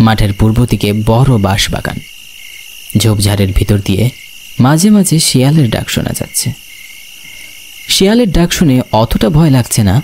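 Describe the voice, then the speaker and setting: Man playing expressive young village night watchman. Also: medium pitch, slight background noise. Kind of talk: first-person horror storytelling.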